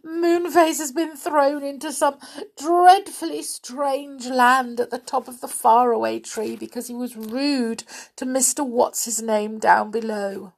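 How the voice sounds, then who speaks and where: Lady putting on a weepy little fairy girl, high and breathy, sobbing her story out. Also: unhurried, heavily acted. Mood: tearful. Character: tearful fairy girl, sweet and remorseful.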